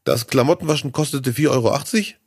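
A man speaking at a medium pitch, his voice a little hoarse and scratchy from a cold. He chats loosely and tells long, meandering stories. The sound is dry and close, with no room around it. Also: fast, dry recording. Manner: chatty, storytelling, rambling with fillers. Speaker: podcast co-host. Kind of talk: casual podcast chat.

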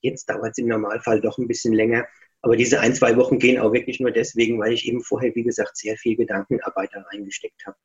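A man's voice: medium pitch, little pitch movement, fast talker, muffled recording. A middle-aged man talking as an author guest in a casual interview, chatty, reflective and self-deprecating.

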